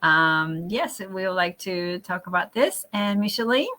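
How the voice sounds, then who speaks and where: Bright-voiced Japanese-accented woman hosting. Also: fairly low pitch, lively intonation, a little slow. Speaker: host of a group chat. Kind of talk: casual hosted discussion.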